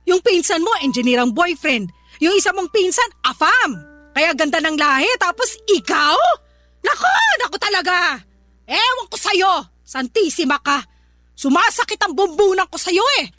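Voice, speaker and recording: A woman's voice: very high-pitched, animated, moderate pace, bright, forceful tone, faint music behind, heavily acted. An actress playing a haughty middle-aged mother in a Filipino radio drama, nagging with sarcasm and condescension. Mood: indignant, nagging. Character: haughty, scornful middle-aged mother belittling daughter's suitor.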